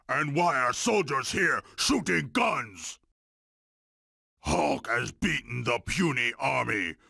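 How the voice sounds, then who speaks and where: A man voicing a huge, angry, childlike monster in a video game, with a harsh gravelly voice, blunt and boastful, speaking of himself in the third person. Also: mid-range voice, pushed and bright, no room sound, studio quality, full character voice. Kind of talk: video game character acting.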